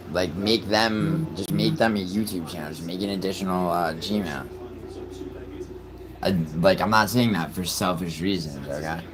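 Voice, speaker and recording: Man, very low voice, live streamer, profane, excitable, air conditioner humming behind. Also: background noise. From New York City. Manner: profane, excitable outbursts between mumbled filler. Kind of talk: casual live stream chat, reacting to soccer and reading chat.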